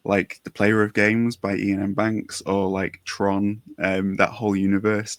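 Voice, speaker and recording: A man's voice: on the low side, vocal fry, dry recording. A man hosting a casual interview, chatty and informal, with a gushing, enthusiastic way of talking. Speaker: podcast interviewer.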